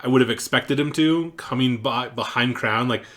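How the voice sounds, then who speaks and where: A man's voice: medium pitch, normal speed, fairly bright tone. A man co-hosting a casual esports podcast, giving blunt, plain-spoken opinions. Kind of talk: casual esports analysis chat.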